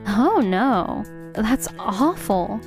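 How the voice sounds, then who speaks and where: A woman's voice: on the high side, sing-song, extremely slow, a little mellow, full character voice. A woman acting a sweet, admiring young designer in an anime-style manga dub. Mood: sympathetic. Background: music.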